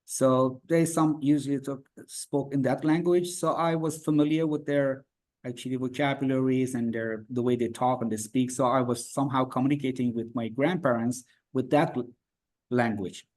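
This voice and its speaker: A man from Iran whose first language is Farsi, answering questions as an interview guest over a video call. His English is halting and full of fillers and restarts. His voice sits at an ordinary middle pitch. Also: fairly even intonation, a little mellow.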